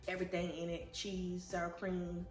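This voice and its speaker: A woman's voice: fairly low pitch, completely flat delivery, normal speed. A young woman from Liberia, congested, chatting casually and rambling with many fillers in a vlog. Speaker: vlogger. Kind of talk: casual pregnancy recap vlog. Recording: roomy echo, faint music.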